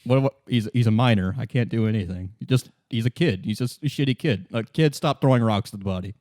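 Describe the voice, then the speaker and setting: A man's voice: on the low side, fast talker, a little mellow, no room sound, clean studio sound. A man running a tabletop game as game master, improvising his narration in a hesitant, conversational way.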